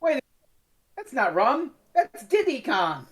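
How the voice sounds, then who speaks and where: A woman's voice: sits high, very animated, slow pace, heavy background noise. A woman co-hosting a podcast in casual, joking banter. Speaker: podcast co-host.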